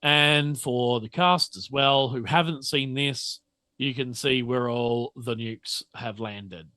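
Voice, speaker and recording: A man's voice: medium pitch, slow, pushed and bright, dry recording. An Australian man running a tabletop game casually, hesitantly piecing his narration together as game master.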